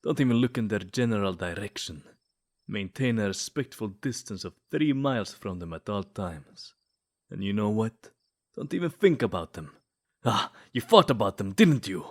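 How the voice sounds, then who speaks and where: A man's voice: fairly low pitch, lively intonation, normal speed. A man voicing a jealous, possessive vampire in a comedic ASMR roleplay, theatrical and snide, given to flirty bickering.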